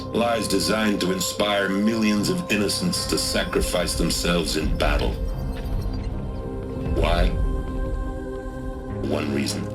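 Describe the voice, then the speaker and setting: An actor playing a stern older American general in a video game, giving a grim, deliberate and solemn briefing. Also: male voice, extremely low pitch.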